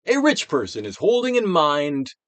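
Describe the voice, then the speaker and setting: Theatrical man reading with sweeping, animated pitch. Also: moderate pace, no room sound. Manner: theatrical, emphatic, with dramatic swells and hushed drops. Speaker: actor reading a self-help book aloud. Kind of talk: theatrical dramatic reading of scripted instructional text.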